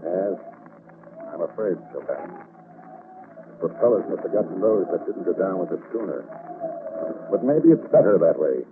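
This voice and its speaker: An actor playing a tough, grim, commanding ship's captain in 1940s radio drama style. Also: male voice, low voice, big pitch swings, moderate pace, long pauses, phone-quality sound. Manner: forceful, commanding. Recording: thin, noisy vintage radio recording.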